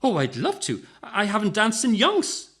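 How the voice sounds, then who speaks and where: A man's voice: high-pitched, huge pitch swings, moderate pace, fairly bright tone, voice pushed up, exaggerated voice. A man voicing a gracious, delighted mature lady with a gushing, genteel manner in a story reading.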